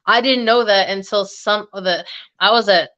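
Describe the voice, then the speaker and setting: A woman's voice: medium pitch, normal speed, a bit bright, lo-fi sound. A woman whose first language is Arabic, chatting casually on a live stream and rambling through fillers and small laughs. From United States, Egyptian family. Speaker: guest fragrance creator.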